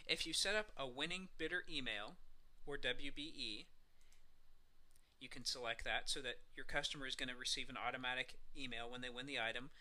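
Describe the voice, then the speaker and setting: Man, very bright sharp voice, mid pitch, casual step-by-step explaining, software demo narration. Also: fairly quick. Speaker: software tutorial presenter. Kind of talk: screencast walkthrough narration, semi-scripted.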